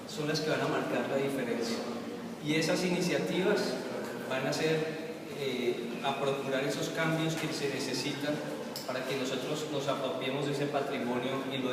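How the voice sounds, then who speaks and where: A man's voice: on the high side, heavy background noise. A man gives a talk at a public forum, speaking expansively with long lists and frequent restarts. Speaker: panel speaker. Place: event hall with an audience. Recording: echoey hall, distant mic, audience.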